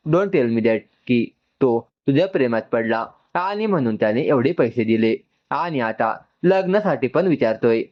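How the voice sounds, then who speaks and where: A man's voice: mid-range voice, animated, unhurried, dull audio, natural voice. A man voicing an angry, suspicious young woman who interrogates her sister in an accusing manner, in a story narration.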